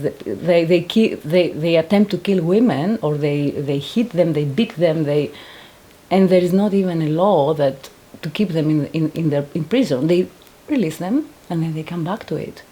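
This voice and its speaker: A woman's voice: fairly low pitch, moderate pace, mellow. A woman in her thirties from Greece, speaking English with a Greek accent, giving earnest personal testimony and searching for words with frequent restarts. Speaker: painter and survivor giving testimony.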